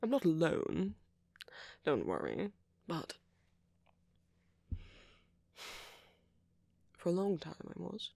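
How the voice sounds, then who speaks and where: Man playing a breathy, gravelly, flirty vampire. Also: high voice, huge pitch swings, a little slow, no echo, exaggerated voice. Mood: tender, wistful. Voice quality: breathy, often dropping into a whisper. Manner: gentle, teasing, genteel phrasing with soft hesitations. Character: friendly, flirty, courteous ancient male vampire. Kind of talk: ASMR roleplay monologue, intimate and conversational.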